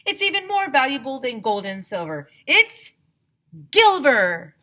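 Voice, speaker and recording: An excitable storybook prospector man acted out by a woman reader, wild for gold. The delivery is folksy and hollered, with big swoops in pitch and a bright, forceful edge. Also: measured pace, heavily acted. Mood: excited. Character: excitable gold-crazed male teacher, folksy old-time prospector.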